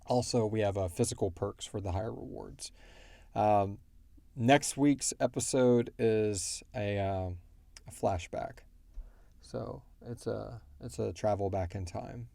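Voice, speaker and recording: A man hosting a casual podcast Q&A, thinking aloud in a halting way. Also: on the low side, vocal fry, warm tone, no room sound. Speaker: podcast host and show creator. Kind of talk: casual Q&A chat.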